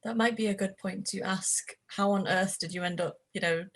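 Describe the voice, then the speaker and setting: A woman's voice: mid-range voice, steady tone, moderate pace. A woman co-hosting a podcast, asking friendly, curious questions over a video call. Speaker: podcast co-host. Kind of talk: casual interview chat.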